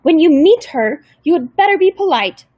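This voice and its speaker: A woman's voice: very high voice, very animated, moderate pace, darkish tone, no room sound, highs cut off, pitched above the performer's own voice. A woman voicing a mean, bossy old female caseworker who threatens and then turns falsely polite. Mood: threatening. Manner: stern, threatening, fake-polite.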